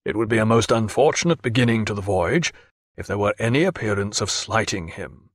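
A man voicing a calm, commanding naval captain in an audiobook. The figure speaks low and measured. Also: dry recording, clean studio sound. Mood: persuasive.

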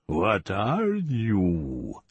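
Man as a slow, taunting sadistic interrogator. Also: on the low side, very animated, a bit bright, slightly rough, no echo, lo-fi sound, full character voice. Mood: soft to barking. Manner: taunting, deliberate, commanding. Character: cold, sadistic male interrogator, smugly patient. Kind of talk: audiobook character voice.

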